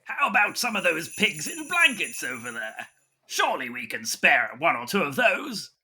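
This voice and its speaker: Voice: medium pitch, wildly swooping pitch, moderate pace, bright, forceful tone, slightly rough, exaggerated voice. A performer playing a brusque, stubborn, bossy character in a comedic audio roleplay, speaking bluntly and emphatically. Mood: brusque, demanding.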